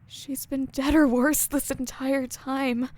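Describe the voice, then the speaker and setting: A woman's voice: sits high, flat delivery, slow pace, darkish tone. A woman playing a grieving but determined survivor heroine in an audio horror drama. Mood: grieving, whimpering. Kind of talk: dramatized fan fiction audio acting.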